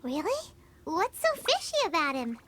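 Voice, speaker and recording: A woman voicing a small, childlike, excitable fairy companion in a video game, bubbly and squeaky. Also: normal speed, heavy background noise, exaggerated voice. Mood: curious. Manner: squeaky, bubbly, refers to self by name. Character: small childlike fairy companion, excitable, food-loving, speaks in third person.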